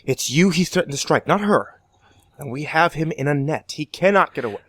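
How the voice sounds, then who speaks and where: A man's voice: fairly high pitch, expressive, a bit bright, breathy edge, no room sound. Man acting urgent, agitated professor, dry sound. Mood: agitated, insistent. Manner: hurried, insistent. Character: urgent, agitated professor warning his friend. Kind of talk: amateur radio-play style acting.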